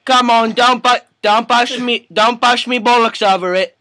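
A man's voice: very high voice, fairly even intonation, normal speed, pushed and bright, no echo, studio quality. A man voicing a pushy, hesitant British immigrant on a prank phone call, with a put-on accent and wheedling, rambling manner. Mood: pleading, insistent.

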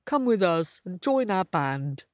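Female performer as storybook donkey, male, vain, jovial, bossy, exclamatory, very animated pitch, dry close sound. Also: a little slow, dry recording. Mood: jovial, enthusiastic. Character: vain, cheery male donkey, would-be lead singer. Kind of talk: storybook character voice.

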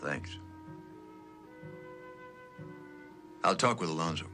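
A man's voice: low-pitched, expressive, quick pace, pushed and bright, faint music behind. An actor playing a terse, world-weary tough lawman with a clipped, laconic delivery in an old screen drama. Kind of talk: understated mid-century screen acting.

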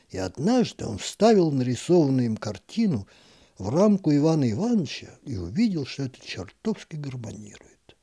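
A man narrating a short story in an expressive literary reading, with a wry, ironic delivery. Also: medium pitch, breathy edge.

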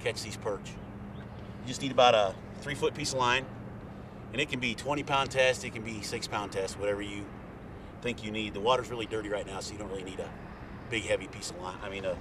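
A man's voice: on the low side, steady tone, fairly quick. Folksy middle-aged man on a boat. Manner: plain, folksy, step-by-step instructions. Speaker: fishing guide. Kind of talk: casual how-to demonstration. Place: outdoors on a boat on a lake. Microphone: camera mic from a few feet away. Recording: distant outdoor camera audio with faint boat noise.

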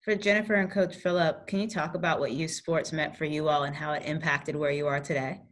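A woman moderating a panel discussion on a video call, putting clear, prepared questions to the guests. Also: low voice, fairly even intonation, brisk.

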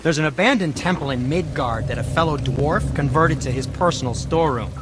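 An actor playing a fastidious, chatty dwarf merchant in a video game, theatrical, precise and a little nervous. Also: male voice, on the high side, over music, lightly acted. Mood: chatty, proud.

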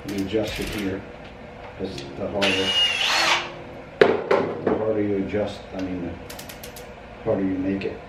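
A man patiently explains how to paint a door, using plain everyday comparisons. His voice is on the low side. He sounds distant from the mic in an echoey empty room, with sanding and rubbing noises clearly audible. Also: flat delivery, measured pace, long pauses, very noisy. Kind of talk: casual hands-on instruction. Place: empty carpeted room in a house. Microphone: camera a few feet away.

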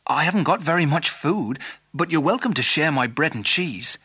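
An earnest, courteous young lad from a storybook, played by a man. He sounds eager and hopeful, with a high voice that swings up and down. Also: animated, normal speed, sharp tone, slightly rough, no echo, full character voice. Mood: friendly. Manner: polite, eager storybook delivery.

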